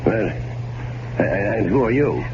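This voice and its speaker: Cagey murderous American husband, middle-aged, very low voice, nervous radio-drama delivery; heavy noise. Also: male voice, lively intonation, slow. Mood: flustered, defensive. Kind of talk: 1970s radio drama acting and first-person narration. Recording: muffled, very noisy 1970s radio broadcast.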